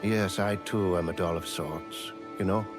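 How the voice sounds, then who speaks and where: An actor playing a genteel, devoted manservant in a fantasy game, with formal, courtly, theatrical phrasing. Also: male voice, sits low, steady tone, a little slow, loud music behind the voice. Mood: courteous, welcoming. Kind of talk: video game character dialogue.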